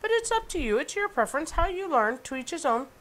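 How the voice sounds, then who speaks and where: A middle-aged woman with a stuffed-up, nasal voice, chatting excitedly through a cooking demonstration in her home kitchen. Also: high voice, fast talker. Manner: chatty and excitable, with many ums and asides.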